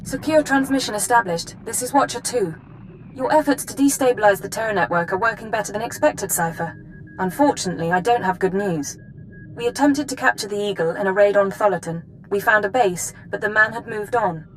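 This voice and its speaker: An actress playing a composed, businesslike intelligence handler giving a mission briefing in a video game. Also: female voice, medium pitch, a little fast, a little hiss or hum, lightly acted, rough recording. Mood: formal briefing.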